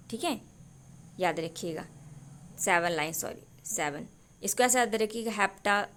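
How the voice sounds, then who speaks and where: Rhetorical-questioning woman teacher, slightly high voice. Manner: explains step by step, asks rhetorical questions and answers them; mixes Hindi with English terms. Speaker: exam-prep teacher. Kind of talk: exam-prep lecture.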